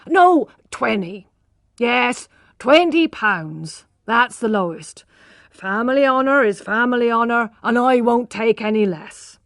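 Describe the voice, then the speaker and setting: A pompous, blustering old country father from a storybook, as a woman reader puts him on. He declares his terms loud and slow, with a haggling, self-important air. The recording is close and dry. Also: on the high side, animated, dry recording.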